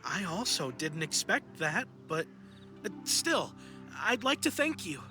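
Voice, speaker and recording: A woman's voice: fairly low pitch, big pitch swings, a little slow. A woman voicing an anxious, superstitious townsperson in an anime video game, earnest and hesitant. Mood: bewildered, hesitant. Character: anxious, superstitious, grateful townsperson convinced of being cursed. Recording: game music behind.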